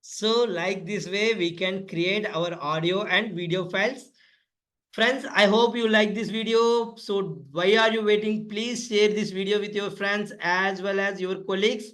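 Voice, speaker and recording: High-pitched man giving step-by-step instructions. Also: fairly even intonation, unhurried, fairly bright tone. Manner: instructive, spells out words letter by letter. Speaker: tutorial presenter. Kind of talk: step-by-step spoken software tutorial.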